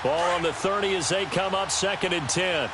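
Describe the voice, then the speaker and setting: A man playing a brisk American play-by-play announcer calling football in a video game. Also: high-pitched, close to an everyday voice. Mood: focused, brisk. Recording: heavy noise over streamed game audio.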